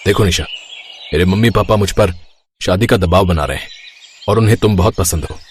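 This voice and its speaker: A man acts out a protective young suitor in a cartoon moral tale. He has a fairly low voice and an earnest, urging manner, with faint ambient sound behind him. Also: brisk, a little hiss or hum, natural voice. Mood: frustrated, pleading. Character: concerned, devoted young suitor, frank and protective. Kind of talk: Hindi cartoon moral story acting.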